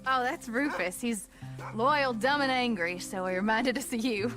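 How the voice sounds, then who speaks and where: A woman plays a hardened frontier wife and mother with a sharp, dry tongue. She is protective and direct. Her voice sits a little higher than most women's. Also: moderate pace, music in the background, natural voice. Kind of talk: video game cutscene acting.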